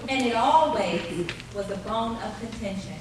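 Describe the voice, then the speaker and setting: An actress playing a grown woman nostalgically narrating her childhood in a live radio play, in a theatrical, deliberate storytelling manner. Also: on the high side, expressive, background noise. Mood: mock-solemn. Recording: echoey live theater, distant mic, music behind.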